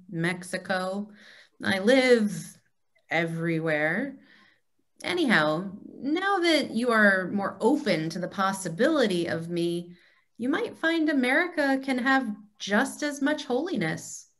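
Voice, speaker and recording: Woman acting as a teasing, deliberate deity in a stage-reading comedy, slow delivery, middle pitch. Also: a bit bright. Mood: serene, playful. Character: God on a video call, wry and playful. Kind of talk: reader's theatre comedy. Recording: video call reader's theatre.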